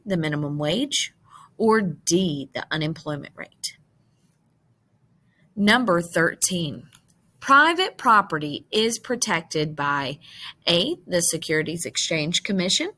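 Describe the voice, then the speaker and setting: A woman teacher reading multiple-choice test questions aloud, with deliberate, emphatic enunciation of each option. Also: on the low side, sharp tone.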